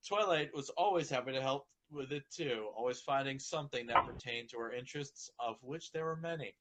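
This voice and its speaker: A man with an average-pitched voice reads fan fiction aloud with friends. He is halting and stumbles over words, slipping between narration and casual, unpolished chat. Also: background noise. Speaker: amateur fan fiction reader. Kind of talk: group story reading with casual chat.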